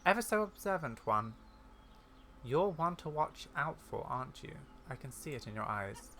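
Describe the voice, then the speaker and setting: A gamer voicing a haughty, mocking villainess, a dark rider, from a fantasy game's dialogue. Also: medium pitch, big pitch swings, normal speed, a little hiss or hum. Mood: taunting. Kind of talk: reading fantasy game dialogue aloud.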